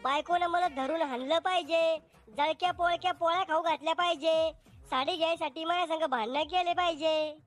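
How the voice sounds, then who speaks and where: A man's voice: very high voice, steady tone, moderate pace, a bit bright. A man voicing a whiny, petulant young bachelor desperate to marry, in a comedy cartoon. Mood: pleading. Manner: pleading, petulant. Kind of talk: Marathi comedy cartoon acting.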